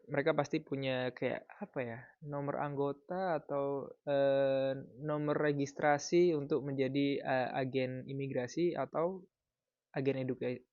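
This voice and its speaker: A man in his thirties from Indonesia, giving casual advice in a vlog in a relaxed, explanatory way with frequent fillers. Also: mid-range voice, dry recording, lo-fi sound. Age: 30s. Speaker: vlogger.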